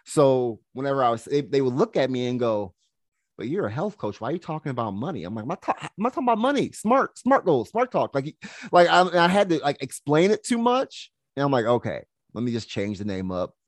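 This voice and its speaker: A young man, a health coach, chatting casually in an interview, relaxed and laughing easily, with plenty of "you know"s. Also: medium pitch, a little fast, no room sound. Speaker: health coach and podcast guest.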